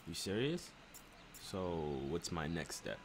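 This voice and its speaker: A young American man giving casual, slangy let's play commentary, rambling and thinking out loud. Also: deep voice, very animated, takes it slowly. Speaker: gaming streamer. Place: room at home. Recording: clearly noisy home webcam stream. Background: car game sounds.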